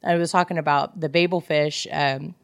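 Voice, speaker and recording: A woman co-hosting a casual book discussion, retelling things in an animated way and acting out dialogue. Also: low-pitched, moderate pace, creaky, clear, resonant tone, no room sound, clean studio sound. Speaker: podcast co-host.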